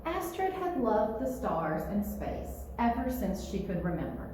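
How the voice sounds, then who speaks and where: A woman reads a children's storytime with lively, expressive intonation. She phrases things clearly for young listeners. The room is very echoey, with faint noise underneath. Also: mid-range voice, a little slow. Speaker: children's librarian reading storytime. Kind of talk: read-aloud storytime narration for children.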